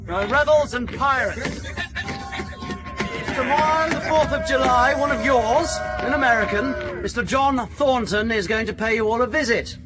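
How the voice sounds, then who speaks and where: Harsh, high-pitched prison officer. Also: male voice, moderate pace, heavy background noise. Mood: menacing, officious. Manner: barking, commanding, clipped. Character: harsh British prison officer, bullying, self-serving, officious. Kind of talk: period radio drama acting.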